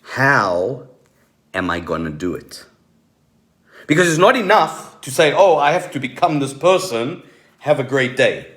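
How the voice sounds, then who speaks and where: A man giving a motivational coaching talk to online viewers, emphatic, repeating key questions with deliberate pauses. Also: medium pitch, sing-song, fairly bright tone. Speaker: motivational coach, host of a live stream.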